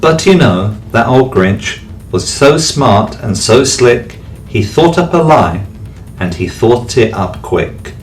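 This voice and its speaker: A man, a teacher, reading a rhyming bedtime story aloud with expressive delivery. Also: medium pitch, slow. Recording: distant mic, faint background music.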